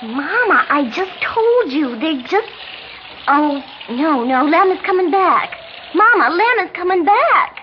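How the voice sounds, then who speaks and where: Very high-pitched, girlish Southern young widow. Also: very animated, slow, a little hiss or hum, exaggerated voice. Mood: excited. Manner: coy, genteel, theatrically indignant. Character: sweet, fussy, girlish young Southern widow who killed. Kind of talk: 1960s radio drama acting. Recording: dull vintage 1960s radio recording, music.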